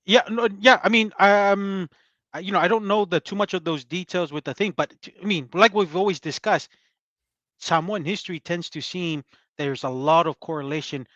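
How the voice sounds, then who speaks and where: A man co-hosting a casual chat show, rambling with halting fillers and trailing off softly. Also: high-pitched, dry recording, lo-fi sound. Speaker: podcast co-host.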